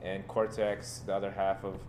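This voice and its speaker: A man's voice: sits low, little pitch movement, moderate pace, echoey room, noisy background. A young man, a rapper, answering interview questions in a laid-back, joking way. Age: young adult. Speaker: guest rapper. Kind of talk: casual interview.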